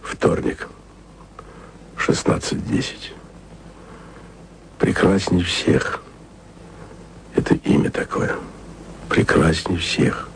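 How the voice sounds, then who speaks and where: An actor playing a lovesick, weary, jealous radio worker in an intimate, murmured radio play monologue. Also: male voice, very slow, long pauses, a little hiss or hum, heavily acted, poor audio. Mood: tender, teasing. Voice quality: deep gravelly voice.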